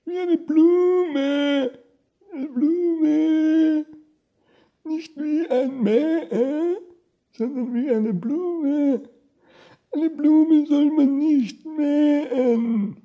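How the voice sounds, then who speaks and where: Man playing a puzzled, high-voiced caller. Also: dull audio, heavily acted. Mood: dreamy. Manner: puzzled, repetitive, drawn-out. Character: confused, dreamy caller who cannot hear the other person.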